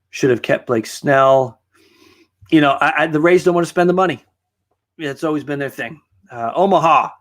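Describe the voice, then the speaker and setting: A man in his thirties talks loosely on a late-night stream, full of "uh"s and restarts. His voice sits a bit high and sounds tired and worn, as if he is losing it. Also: a bit bright. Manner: rambling, many fillers and restarts, tired late-night talk. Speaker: host of a live sports stream. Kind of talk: casual live-stream chat reading viewer comments.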